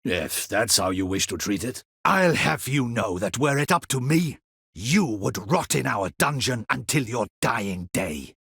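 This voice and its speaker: An actor playing a stern, proud, dutiful captain of a ducal guard in a fantasy video game, with a formal, clipped, haughty delivery and a husky voice. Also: male voice, medium pitch, animated, measured pace, fairly bright tone, no room sound, clean studio sound, heavily acted. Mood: contemptuous, threatening.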